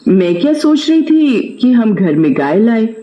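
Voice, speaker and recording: A man's voice: high voice, very animated, unhurried, warm tone, muffled recording. A man voicing a pious, persuasive wife who coaxes and instructs in a moral story. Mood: coaxing. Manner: coaxing, instructing.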